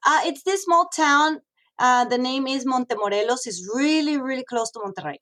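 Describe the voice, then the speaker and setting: A woman's voice: high voice, moderate pace, pushed and bright. A woman in her thirties, originally from Mexico, talking frankly in a casual interview, with many fillers and restarts. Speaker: guest, radio host and model.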